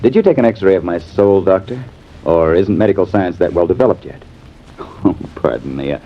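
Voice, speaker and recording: An actor playing a sardonic, disillusioned husband in a mannered, theatrical 1950s American radio drama style. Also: male voice, sits low, animated, normal speed. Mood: wry, bitter. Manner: wry, mannered, theatrical delivery. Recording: dull, hissy vintage radio recording.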